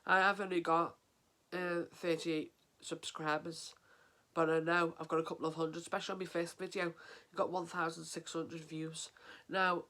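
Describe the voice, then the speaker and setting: An English man speaks to camera in a casual vlog, his voice stuffy and sniffling from a head cold. He talks in an earnest, halting way, full of ums and restarts, and his pitch stays level and flat throughout. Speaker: mental health vlogger.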